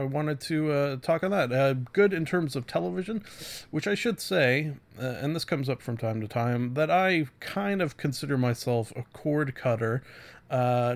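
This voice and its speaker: A man hosting a podcast solo, giving casual reviews in a halting way, often interrupting and correcting himself. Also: on the high side. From Canada. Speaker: podcast host.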